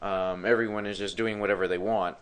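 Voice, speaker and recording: A man's voice: lowish voice, steady tone, a little fast, a bit bright, smooth. A man hosting a live talk show who has partly lost his voice, speaking in a rambling way with many fillers and restarts. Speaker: podcast host.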